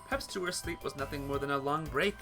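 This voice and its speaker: A man's voice: on the high side, quick pace, music in the background. A man reading visual novel text aloud expressively as a playthrough host, stumbling over the odd word.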